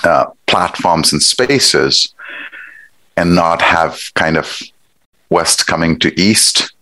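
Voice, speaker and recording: Middle-aged man from Nepal, very low voice, dry sound; measured, thoughtful interview guest. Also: sharp tone, no room sound, rough recording. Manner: thoughtful, measured, with pauses and fillers. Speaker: guest, executive director. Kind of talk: conversational interview.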